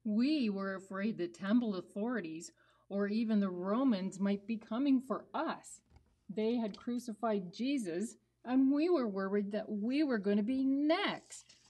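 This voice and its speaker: A woman playing a sober, earnest disciple puppet telling the Easter story to children in a measured way. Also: mid-range voice, warm tone, close to an everyday voice. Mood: grave.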